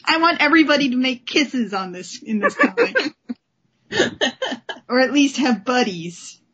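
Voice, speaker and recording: A woman's voice: high voice, sing-song, measured pace, fairly bright tone, husky, muffled recording. A woman co-hosting a podcast, chatting casually and enthusiastically about comics. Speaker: podcast host. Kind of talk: casual comic book discussion.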